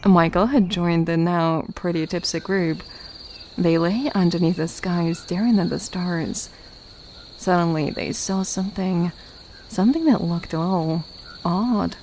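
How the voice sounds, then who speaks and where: A woman's voice: fairly low pitch, a little slow, darkish tone, a little hiss or hum. A woman narrating a scripted story for an audio drama.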